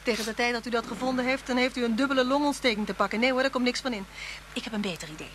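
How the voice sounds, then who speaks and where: An actress playing a warm-hearted, headstrong woman schoolteacher in a Dutch radio play, with an insistent, teasing, expressive delivery. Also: fairly high pitch, racing, lightly acted. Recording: noisy old radio drama recording.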